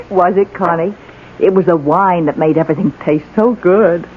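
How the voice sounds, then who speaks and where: An actress playing a genteel, excitable wealthy lady of the house in a radio drama, gushing and theatrical. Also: on the low side, normal speed, narrow, tinny audio, close to an everyday voice. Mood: bright, delighted. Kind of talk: 1980 radio drama acting. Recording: noisy band-limited old radio broadcast.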